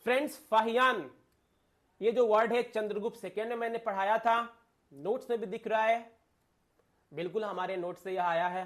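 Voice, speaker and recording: High-pitched man teaching emphatically. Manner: emphatic, repeats key phrases, often addresses listeners directly. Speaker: exam coaching teacher and video host. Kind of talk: informal lecture addressed to students, Hindi mixed with English terms.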